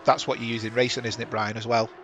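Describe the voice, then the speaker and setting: A man's voice: mid-range voice, level tone, fast talker, fairly bright tone, a little hiss or hum. A chatty man hosting a sim racing stream on a headset, talking over the action and correcting himself. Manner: chatty, rambling, self-correcting. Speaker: sim racing broadcast host. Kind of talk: live race commentary.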